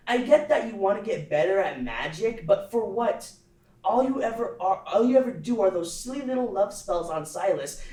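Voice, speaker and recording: A sarcastic, exasperated teenage older brother from an animated pilot, read aloud at a table read. The voice has lively, swinging intonation. It sounds roomy and distant from the mic. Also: medium pitch, natural voice. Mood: teasing.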